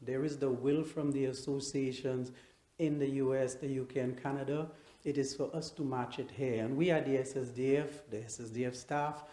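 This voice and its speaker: Male interviewee, warm dark voice, halting unscripted talk, roomy echo. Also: medium pitch, steady tone. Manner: halting, repeats phrases. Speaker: executive director interviewee. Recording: echoey room.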